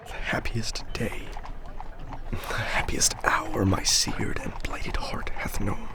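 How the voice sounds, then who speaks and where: A man's voice: on the low side, little pitch movement, takes it slowly, creaky, whispered. A man playing a brooding, self-doubting actor and conspirator in a student film drama, intense and defensive. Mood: brooding recitation. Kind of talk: student film drama acting, reciting verse.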